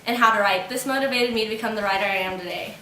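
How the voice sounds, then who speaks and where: A woman's voice: mid-range voice, racing, sharp tone, roomy sound, a little hiss or hum, close to an everyday voice, rough recording. A young woman voicing an earnest male writer who was once enslaved, speaking steadily. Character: earnest male former slave turned writer.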